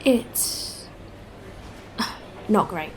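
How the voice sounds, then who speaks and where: A woman's voice: extremely slow, a little hiss or hum. A woman playing a candid, friendly spacefarer in a sci-fi audio drama, talking in a casual, conversational way. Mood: frustrated. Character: candid, weary but friendly female spacefarer.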